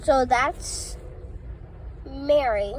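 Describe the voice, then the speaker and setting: A woman reading playful rhymes and tongue twisters aloud in a halting way, often stumbling over words. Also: high-pitched, slight background noise, poor audio. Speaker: reader of children's poems.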